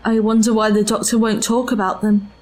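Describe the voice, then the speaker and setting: A woman's voice: fairly high pitch, little pitch movement, brisk, a little mellow, close to an everyday voice. An actress in an audio drama playing an earnest, loyal woman from the distant past, formal, hesitant and thoughtful. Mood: troubled, doubtful. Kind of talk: full-cast audio drama acting.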